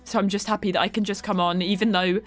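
A young woman streaming a life-sim game from home, chatting warmly with her viewers while stuffed up with a cold. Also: medium pitch, steady tone, fast. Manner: chatty, affectionate toward chat, giggly. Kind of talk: live game stream chat.

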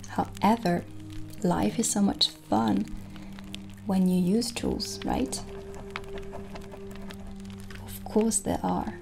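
Slow, creaky, soft-spoken witch woman. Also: medium pitch. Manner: slow, soothing, gentle. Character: kindly witch shopkeeper giving gentle guidance. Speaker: ASMR roleplay performer. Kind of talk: soft-spoken ASMR roleplay. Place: small candlelit indoor set. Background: soft background music.

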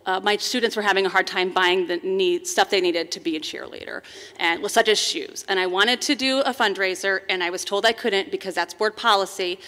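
A woman speaks loudly and emphatically into a handheld microphone on a forum stage. Her voice is bright and pointed. A long hall reverb trails each phrase. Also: mid-range voice, a little fast. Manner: loud, emphatic, laughs while talking. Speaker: school board candidate, cheer coach. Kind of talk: candidate forum statement. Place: large hall, seated on stage panel.

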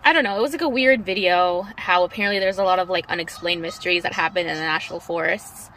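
A woman's voice: lowish voice, fast talker, fairly bright tone, poor audio. A young woman in her twenties chatting in a car vlog, telling a story in a loose, rambling way with lots of fillers. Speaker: vlogger. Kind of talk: casual chat while riding in a car. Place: inside a convertible car outdoors. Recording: faint car and road noise.

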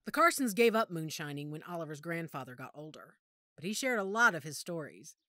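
A woman playing a knowledgeable werewolf pack member in an audio drama, speaking in a measured, authoritative, explanatory way. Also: deep voice, big pitch swings, pushed and bright, no echo, studio quality, full character voice. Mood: informative, assured. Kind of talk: audio roleplay drama acting.

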